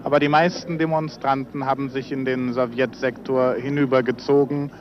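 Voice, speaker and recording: A man's voice: mid-range voice, level tone, moderate pace. A man reporting live on location for 1950s radio in speech. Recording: noisy dull 1953 outdoor radio recording.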